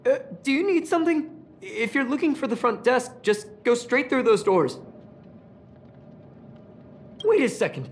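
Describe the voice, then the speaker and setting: A woman's voice: a little high, animated, unhurried, pauses often, slight background noise. A woman voicing an earnest, self-conscious young engineer in video game story dialogue, talkative and dramatic. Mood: puzzled, friendly.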